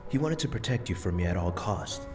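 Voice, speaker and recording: Deep-voiced gentle old grandfather spirit. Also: expressive. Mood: wistful. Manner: earnest, tender, measured explaining. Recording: clear music behind the voice.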